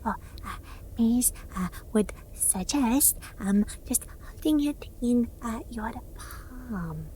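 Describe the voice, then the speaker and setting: A woman performing a gentle ASMR roleplay as a friendly alien medic, often whispering, halting and deliberate, referring to herself in the third person. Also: on the high side, animated, a little hiss or hum.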